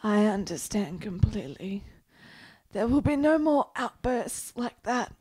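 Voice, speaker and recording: A woman acting a frail, ailing, melancholy gentlewoman in a period radio play, with a halting, theatrical delivery. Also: mid-range voice, lively intonation, breathy edge, no echo. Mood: subdued, bitter. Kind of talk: period radio drama dialogue.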